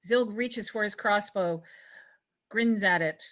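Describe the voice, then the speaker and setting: A woman's voice: mid-range voice, slow pace, fairly bright tone. A woman narrating a screenplay, reading out scripted stage directions at a table read.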